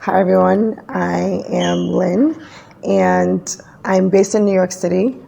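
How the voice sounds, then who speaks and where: A woman from Ghana, a guest founder, answering interview questions in a relaxed, thoughtful way. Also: lowish voice, slow, gravelly, a little hiss or hum. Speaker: guest, NFT project founder.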